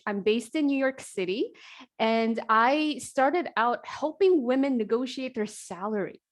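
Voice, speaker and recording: A woman in her forties, an executive coach hosting an online group coaching call, talking in an energetic, conversational way with many fillers. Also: a little high. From South Korea, raised in New Jersey. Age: 40s.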